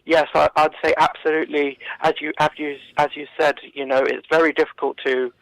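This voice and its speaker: A man giving halting, hesitant answers as a campaigner being interviewed on the radio. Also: a little high, level tone, creaky, a bit bright, rough recording. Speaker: animal welfare campaigner, interview guest. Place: radio studio.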